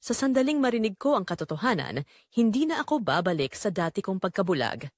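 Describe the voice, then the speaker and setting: A woman narrates a scripted drama in the first person with theatrical emotion. Her pitch moves widely and animatedly through each phrase. The sound is dry, with no room echo. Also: big pitch swings, fairly quick.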